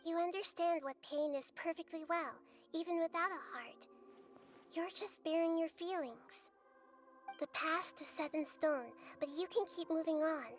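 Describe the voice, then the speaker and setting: A wise little goddess from a fantasy game with a high, gentle voice. She speaks in a measured, philosophical way, sounding a little distant over music. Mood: reassuring. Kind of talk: dramatic game voice acting.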